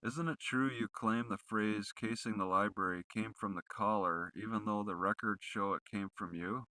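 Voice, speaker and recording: A man's voice: lowish voice, steady tone, normal speed, pushed and bright, dry recording, poor audio. A man putting accusatory, leading questions in the style of a rehearsed cross-examination.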